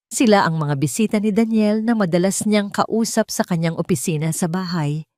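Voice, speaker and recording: Low-voiced Filipino woman narrating drama, close and dry. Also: dry recording. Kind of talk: dramatic first-person scripted story narration.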